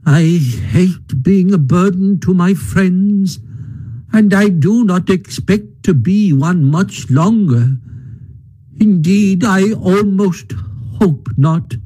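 A sulky, self-pitying storybook toad who talks in a faint, mock-dying whimper, put on by a man reading aloud. The voice sits well above his own, thin and high, and every phrase is stretched out slowly. The tone is soft and dark. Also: husky, full character voice. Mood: feeble, plaintive. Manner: faint, drawn-out, mock-dying plaintive delivery.

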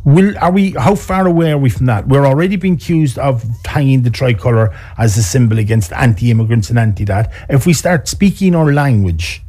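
A man's voice: mid-range voice, animated, brisk, hardly any pauses, mellow, husky. A man with an Irish accent interviewing a guest, asking probing questions with some hesitation. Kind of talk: conversational interview.

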